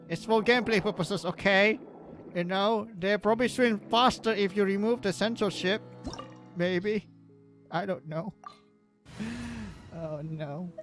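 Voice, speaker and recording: A man giving casual livestream game commentary in a halting, joking way, often repeating his words. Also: high-pitched, fairly bright tone. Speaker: live streamer. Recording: game music behind the voice.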